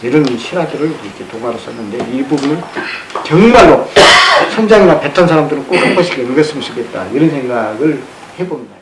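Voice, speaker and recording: A man giving an informal public lecture in an earnest, halting way, often repeating his phrases. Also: a little high, expressive. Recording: roomy, echoey with background noise.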